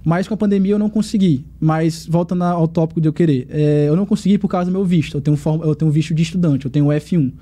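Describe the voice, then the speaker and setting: Young Brazilian man, quick and dark-toned. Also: a little high. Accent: Piauí Portuguese. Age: young adult. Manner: relaxed, chatty storytelling with quick asides. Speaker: guest. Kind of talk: casual podcast chat. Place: podcast studio.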